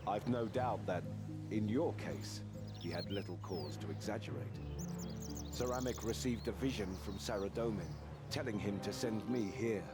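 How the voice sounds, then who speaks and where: An actor playing a devout, loyal knight in a fantasy game, speaking in a formal, chivalrous and earnest manner. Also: male voice, on the low side, moderate pace, loud music behind the voice, natural voice. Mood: courteous, curious. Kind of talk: scripted fantasy game acting.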